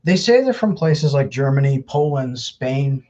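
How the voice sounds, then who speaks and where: A man's voice: mid-range voice, sing-song, unhurried, mellow, highs cut off. A man hosting a live Q&A, earnestly giving advice to viewers in a loose, repetitive way. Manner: earnest, advising, repeats words often. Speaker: host answering viewer questions. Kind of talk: live Q&A, casual explaining.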